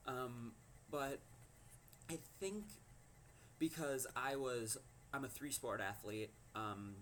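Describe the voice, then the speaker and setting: Teen boy, fifteen, low voice, halting personal story over faint hiss. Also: a bit bright. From Minnesota. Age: teens. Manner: hesitant, many ums and likes. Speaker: teen vlogger.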